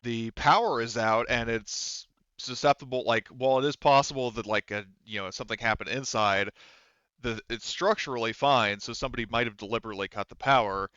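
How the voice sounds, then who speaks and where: A man's voice: medium pitch, fairly quick, pushed and bright, clean tone, dry recording, highs cut off. A man narrating a tabletop game as game master in a conversational, explanatory way.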